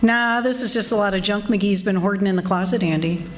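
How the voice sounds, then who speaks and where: A medium-pitched female voice from an actress as a long-suffering wife in an old-time radio comedy. She scolds and teases with dry exasperation, over faint background noise. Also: fairly quick, natural voice. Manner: dryly exasperated, teasing. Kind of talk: 1940s radio comedy acting. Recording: live stage recording with background noise.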